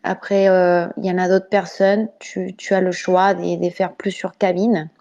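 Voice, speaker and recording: Mexican woman with a Spanish accent, mellow. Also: lowish voice, level tone, measured pace, muffled recording. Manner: chatty, laughs often, many hesitations. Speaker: podcast guest. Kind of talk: casual discussion over voice chat. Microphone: home microphone on voice chat.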